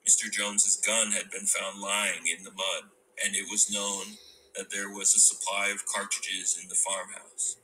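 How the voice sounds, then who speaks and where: A man's voice: lowish voice, level tone, measured pace, sharp tone, slight background noise, rough recording. A man reading a novel aloud as scripted storybook narration.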